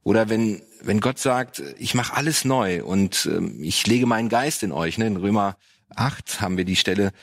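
Reflective man, low voice. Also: lively intonation, a bit bright. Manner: reflective, halting, with many fillers. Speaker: pastor and panel guest. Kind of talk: panel discussion on parenting and faith.

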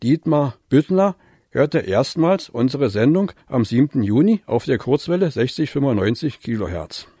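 Male mailbag host for radio, mid-pitched, formal scripted read, close dry sound. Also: very animated, moderate pace, mellow, slightly rough, dry recording. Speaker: radio listener-mail presenter. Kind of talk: scripted reading of listener mail.

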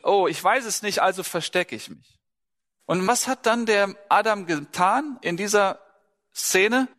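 A male preacher with a slightly high voice speaks to a congregation in a large, echoing hall. His sermon is conversational and turns often to questions aimed straight at the listeners. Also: unhurried, a bit bright. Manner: conversational, asks listeners questions, acts out short dialogues. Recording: large hall with long reverb.

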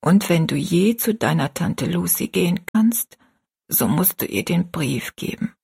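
Woman doing a dying gentle mother in a storybook, slow breathy half whisper, resigned n tender. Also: lowish voice, expressive, full character voice. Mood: tender, weak. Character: gravely ill mother, gentle, resigned, pious.

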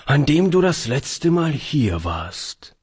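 A man voicing a kindly old grandfather who speaks in an earnest, gentle, tremulous way. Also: a little high, very animated, takes it slowly, slightly rough, pitched above the performer's own voice, heavily acted. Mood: explaining.

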